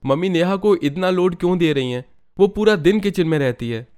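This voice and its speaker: A man's voice: sits high, a little fast, a little mellow, clear, resonant tone, close to an everyday voice. A man playing a concerned, exasperated young husband and son in a family story cartoon. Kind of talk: Hindi family moral-story cartoon acting.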